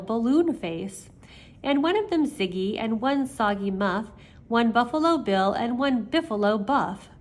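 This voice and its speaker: An older woman, a grandmother reading children's stories aloud expressively, with chatty asides to her young listeners. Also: a little high.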